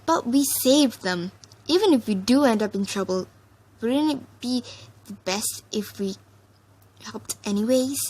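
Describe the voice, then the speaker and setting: A woman's voice: a little high, animated, a little slow, darkish tone, rough recording. A woman voicing an earnest, kind female alicorn pony who leads and reasons things out. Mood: determined.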